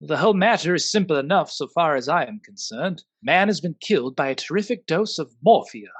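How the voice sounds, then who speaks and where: A man acting as a brisk, self-assured Edwardian police doctor in a radio play, pronouncing things emphatically. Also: fairly high pitch, lively intonation, no room sound. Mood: confident, clinical.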